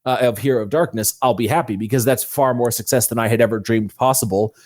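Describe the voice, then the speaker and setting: A man's voice: mid-range voice, quick pace, a little mellow. A man speaking as an interview guest, telling his own story casually and candidly, acting out quoted thoughts as he explains. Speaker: guest author.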